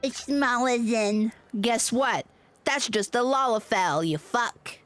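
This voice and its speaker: A woman with a fairly high voice chats casually while streaming a game. She takes her time, leaving space between short remarks, and is playful and salty in manner. Music plays behind her. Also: slow pace. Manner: playful, foul-mouthed mock threats and muttering to self. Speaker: livestreamer playing an online game. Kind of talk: casual gameplay commentary.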